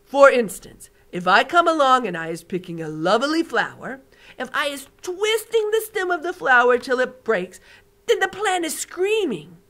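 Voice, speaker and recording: A woman voicing a huge, gentle male giant with mangled grammar while reading a storybook aloud. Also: high-pitched, sing-song, moderate pace, voice pushed up. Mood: earnest. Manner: loud, earnest, mangled grammar.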